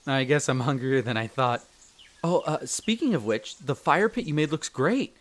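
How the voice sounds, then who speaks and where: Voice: mid-range voice, moderate pace, close to an everyday voice. A performer playing a young male elf explorer, a chatty, friendly guide speaking to the listener in an audio roleplay. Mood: cheerful. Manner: expressive, chatty, stammers when flustered.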